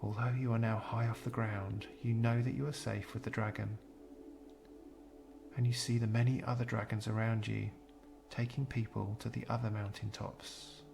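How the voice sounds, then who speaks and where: A man narrating a scripted guided meditation in a measured, soothing, gentle way, pausing between phrases. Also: fairly low pitch, flat delivery, vocal fry, background noise.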